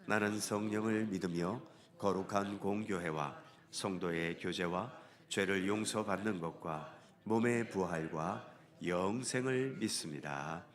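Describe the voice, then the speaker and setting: A man's voice: sits low, a little slow. A male pastor leading a church service in solemn liturgical recitation, his voice nasal from a head cold. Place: church sanctuary.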